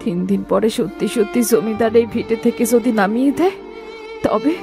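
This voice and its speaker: Casting: woman as worried, ailing village mother for cartoon melodrama; plaintive, slightly high voice, fretful delivery. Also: a little fast, music in the background, natural voice.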